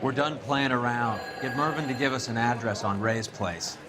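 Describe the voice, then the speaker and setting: An actor playing a cynical veteran 1940s detective, blunt and dryly wry, in a game cutscene. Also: male voice, medium pitch, normal speed, crowd noise, natural voice. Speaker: detective partner.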